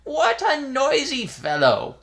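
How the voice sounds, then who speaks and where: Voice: sits high, wildly swooping pitch, takes it slowly, a bit bright, voice pushed up, heavily acted. A reader voicing a fussy old male temple priest in a raised, exclaiming voice. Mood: startled.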